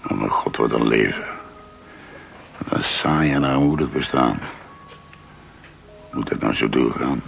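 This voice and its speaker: An actor playing a sardonic, weary office superior in a Dutch radio drama, with a mocking, grumbling way of talking. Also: male voice, extremely low pitch, unhurried, vocal fry, pauses often, faint music behind, full character voice. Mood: gloomy.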